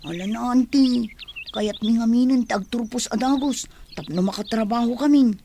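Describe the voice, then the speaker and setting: A woman's voice: on the high side, normal speed, warm tone, slight background noise, natural voice. A woman playing an earnest young student daughter in an Ilocano radio drama. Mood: pleading. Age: young adult.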